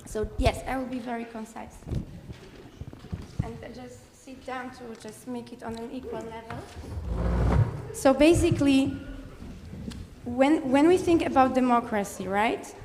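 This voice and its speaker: A woman takes the floor at a conference, her voice sitting a little high. She speaks directly and insistently, with frequent "uh" pauses as she works through her points. The room rings with a long echo, and a faint hum sits underneath. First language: Polish. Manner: direct, insistent, many hesitations and fillers. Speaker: audience member, philosopher. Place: conference hall.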